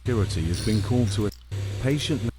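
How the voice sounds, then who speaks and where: A man's voice: fairly low pitch, lively intonation, fast, close to an everyday voice. A man playing an official announcer in an analog horror video, reading scripted reports in a formal way. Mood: grim, official. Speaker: narrator of a played horror video. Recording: noisy audio played from a video.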